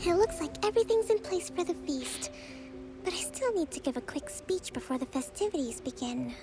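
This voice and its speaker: A woman voicing a young island leader in a video game, soft-spoken and polite, formal and composed when she addresses her people. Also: very high voice, animated, moderate pace. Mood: gentle. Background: game music.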